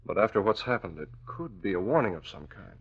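An actor playing a calm, thoughtful newspaper boss with a measured, serious manner in vintage radio drama style. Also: male voice, fairly low pitch, slightly rough, heavily acted. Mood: grave, thoughtful. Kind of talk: 1940s radio acting. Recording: dull vintage radio recording.

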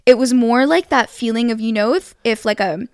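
A young woman co-hosting a podcast, chatting casually with animated reactions and many fillers. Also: fairly high pitch, moderate pace, smooth, no room sound, clean studio sound. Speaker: podcast co-host. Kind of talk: casual chat.

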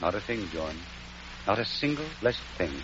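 An actor playing an earnest young man torn in love, who speaks haltingly. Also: lowish voice, lively intonation, lightly acted. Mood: hesitant. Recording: muffled old radio recording with music.

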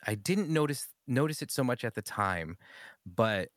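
Lively laughing man. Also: medium pitch, normal speed, dry recording, clean studio sound. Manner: animated storytelling with frequent laughs. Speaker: pastor. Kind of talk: casual conversation.

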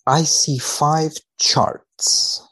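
A man speaking as a researcher guest in conversation, wry and measured, giving tongue-in-cheek commentary with mock seriousness. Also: fairly high pitch, steady tone, pushed and bright, breathy edge. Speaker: podcast guest, biomedical researcher.

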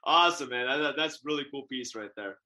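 A man's voice: medium pitch, quick pace, sharp tone. A man co-hosting a podcast over a video call, eager and chatty, laughing often and restarting his sentences. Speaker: podcast co-host. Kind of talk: casual interview chat. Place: home office in the Bay Area.

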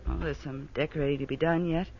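A woman's voice: low-pitched, steady tone, fast talker. An actress playing an exasperated, impulsive young society woman in a 1940s radio drama. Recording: muffled, hissy old radio broadcast.

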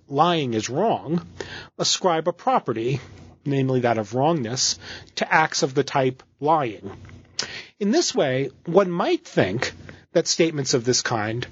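A man hosts an academic book podcast, introducing his guest in a measured, lecturing way. His pitch moves animatedly and his voice has a slight husk. The sound is dry and a little dull. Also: breathy edge, dry recording. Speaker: podcast host. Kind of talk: scripted introduction and interview.

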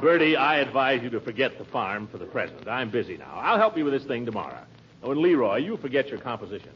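An actor playing a pompous, impatient middle-aged town official in a 1940s radio comedy, blustering with exasperation. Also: male voice, medium pitch, big pitch swings, fast talker, crowd noise, close to an everyday voice. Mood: busy, brisk. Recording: muffled, noisy vintage radio recording.

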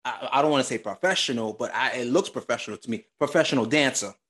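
A man's voice: mid-range voice, fast talker, a bit bright. Upbeat man hosting a podcast. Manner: hypes up guests, lots of fillers. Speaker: podcast host. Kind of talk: casual podcast chat.

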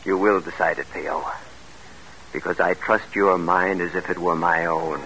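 A man's voice: low-pitched, steady tone, unhurried, sharp tone, soft background music, heavily acted, rough recording. An actor playing an ambitious, persuasive middle-aged colonel and doting father in an old-time American radio drama. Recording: vintage radio broadcast.

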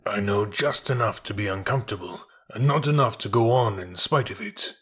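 A man voicing a high-strung, sensitive male army horse in a storybook, earnest and skittish. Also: normal speed, a bit bright, slightly rough. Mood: earnest, uneasy.

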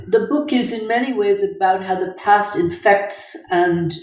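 An older woman, a scholarly interview guest, speaking thoughtfully and precisely, with deliberate pauses in mid-phrase. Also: mid-range voice. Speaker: guest, moral philosopher and author. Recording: echoey room, distant microphone.

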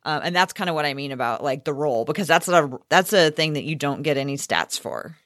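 A woman's voice: sits low, lively intonation, fairly quick, fairly bright tone, no echo, clean studio sound. A woman co-hosting a sports podcast in a casual conversational style.

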